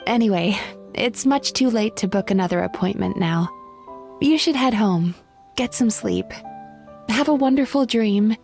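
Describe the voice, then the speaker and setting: A woman voicing a calm, reassuring psychologist spirit who eats dreams, in a video game. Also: medium pitch. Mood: gentle. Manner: soft, measured and reassuring. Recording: faint game music behind.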